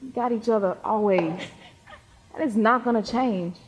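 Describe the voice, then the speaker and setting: Stage drama teen, young female actor: troubled, earnest, high-pitched, recorded in a reverberant hall. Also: normal speed, slightly rough. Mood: guarded, surprised. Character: troubled, sincere teenage girl, guarded and weary. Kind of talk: stage play acting.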